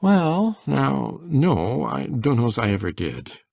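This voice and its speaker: A man playing a shy, kindly older farmer in an audiobook dramatization, with hesitant, folksy country speech and a gravelly, husky voice. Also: mid-range voice, sing-song, takes it slowly, a little mellow, dry recording, exaggerated voice. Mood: hesitant, mild. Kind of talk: full-cast audiobook dramatization.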